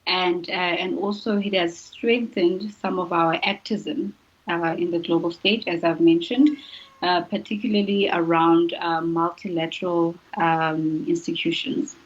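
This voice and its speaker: Husky-voiced woman, hesitant and halting. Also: lowish voice, fairly even intonation, muffled recording. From South Africa. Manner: hesitant, many fillers. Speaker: panel guest. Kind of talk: hesitant explanatory answer.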